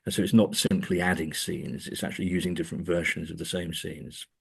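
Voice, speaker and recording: A man's voice: low-pitched, fairly even intonation, brisk, creaky, husky. A man speaking as an academic guest in a discursive interview, hesitant and often repeating words as he thinks.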